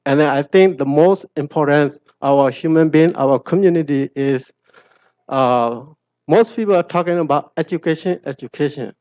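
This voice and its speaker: A man, a father giving a hesitant public comment in halting English full of fillers. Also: medium pitch, a little mellow, clean tone, no echo, dull audio. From Burma. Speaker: parent speaking at public hearing.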